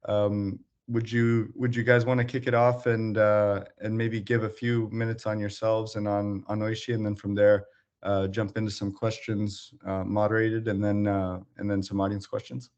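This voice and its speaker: A man's voice: on the low side, little pitch movement, moderate pace, a little mellow. A man moderating an event, introducing guests in a casual, hesitant way over dull, muffled call audio.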